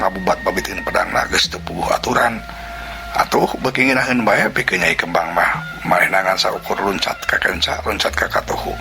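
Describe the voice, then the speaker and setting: Male storyteller, low-pitched, gravelly, lively folk tale narration, sound words, whispered asides. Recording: music bed under narration. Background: background music.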